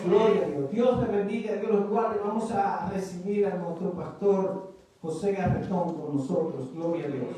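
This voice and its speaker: A man hosting a church service and interpreting the guest's sermon phrase by phrase, halting with fillers and restarts. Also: high voice, dark tone, slight background noise. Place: church sanctuary. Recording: echoey church hall from far off.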